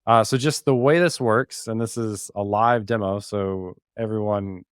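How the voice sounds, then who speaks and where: A man's voice: on the low side, measured pace, clean tone, no echo, clean studio sound. A man giving a casual, informal live walkthrough of a technical demo, with some self-deprecation. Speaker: podcast host and developer giving a demo as guest.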